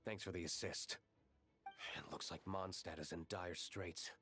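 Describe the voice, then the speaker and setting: A serious, pragmatic warrior of noble bearing speaks in a low, measured voice. He stays composed and wary, as in a fantasy video game, with faint music behind him. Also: steady tone, pushed and bright. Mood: grave, composed. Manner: measured, grave. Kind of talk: video game character acting.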